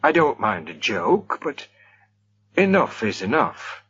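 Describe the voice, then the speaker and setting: A man's voice: mid-range voice, big pitch swings, takes it slowly, a bit bright, slightly rough, lo-fi sound, heavily acted. A man voicing a stern middle-aged host who speaks firmly and reproachfully.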